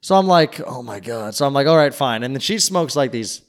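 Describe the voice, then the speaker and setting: A man's voice: medium pitch, lively intonation, a little fast. A man casually telling a long, rambling story on a podcast and swearing freely. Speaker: podcast co-host. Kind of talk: casual chat.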